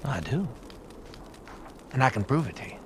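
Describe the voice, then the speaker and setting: An actor playing a calm, soft-spoken middle-aged American survivor leader in a video game, measured and halting, with quiet menace. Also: male voice, medium pitch, close to an everyday voice. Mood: quietly menacing. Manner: measured, deliberate, halting pauses. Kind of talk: naturalistic video game cutscene acting. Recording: clear background noise.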